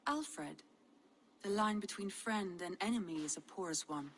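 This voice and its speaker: An actress playing a calm, shrewd adviser to a Viking warrior in a video game, speaking formally. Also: female voice, medium pitch, very noisy, natural voice. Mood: cautionary. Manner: measured, formal.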